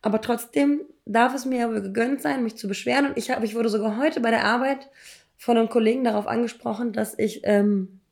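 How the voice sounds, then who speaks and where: A woman in her thirties, hoarse from illness, tells personal stories in a casual, emphatic, slangy way. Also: on the high side, brisk, darkish tone. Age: 30s. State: hoarse, ill. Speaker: podcast co-host.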